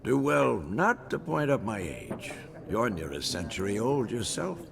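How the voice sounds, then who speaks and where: Brief: aged grizzled mentor, gravelly, very slow, crowd behind. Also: male voice, mid-range voice, sing-song, noisy background. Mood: wistful. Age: older. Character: old grizzled male mentor warrior.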